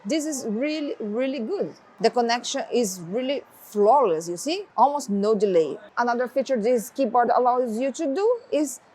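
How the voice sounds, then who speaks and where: A woman's voice: fairly high pitch, moderate pace, hardly any pauses, slight background noise. A woman whose first language is Portuguese, casually demonstrating a tablet's features step by step. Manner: relaxed, explaining step by step, non-native phrasing. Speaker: tech reviewer. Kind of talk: casual product demo.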